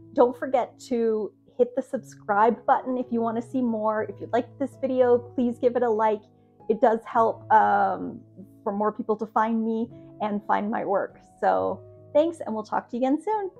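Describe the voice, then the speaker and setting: A woman's voice: on the high side, unhurried, creaky, a little mellow, faint music behind. A woman in her forties chatting casually to the camera from her home craft studio, with lots of ums and restarts. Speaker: craft vlogger.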